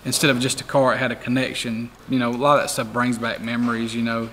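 A man in his forties talks in a loose, easygoing way, circling back with fillers as he chats. His voice is bright and forward. A faint roomy reverb lingers behind him. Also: mid-range voice, fast, a little hiss or hum. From Tennessee. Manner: relaxed, rambling, many "you know" fillers. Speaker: car museum owner. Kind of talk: documentary interview. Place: garage workshop full of memorabilia.

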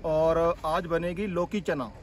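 A man's voice: fairly high pitch, steady tone, slow pace, a little hiss or hum. A man casually narrating a travel vlog outdoors on a mountain roadside, talking to viewers as friends. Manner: easygoing, addresses viewers as friends. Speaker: travel vlogger.

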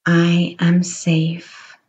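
A woman guiding a meditation in a slow, soothing voice, offering gentle reassurance. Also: fairly low pitch, completely flat delivery, lo-fi sound. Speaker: meditation guide. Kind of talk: guided meditation.

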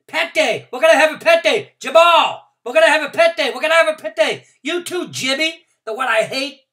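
A woman voicing a loud, excitable kindergarten girl who shouts and chatters breathlessly. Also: medium pitch, moderate pace, recorded from a distance, pitched above the performer's own voice. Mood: thrilled shouting. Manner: shrieking, bouncy, breathless exclamations.

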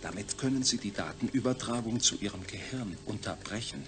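Ein Schauspieler spielt in einem deutschen Hörspiel einen jovialen Mediziner, der sachlich doziert. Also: male voice, on the low side, fairly quick, a bit bright, close to an everyday voice. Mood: lecturing. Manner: didactic, technical. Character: jovial, lecturing male medical scientist. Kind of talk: German radio play acting.

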